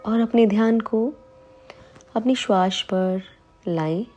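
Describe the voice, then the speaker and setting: A woman leading a guided meditation, calm and soothing, giving unhurried instructions with long pauses between phrases. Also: mid-range voice, soft background music. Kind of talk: slow guided meditation in Hindi.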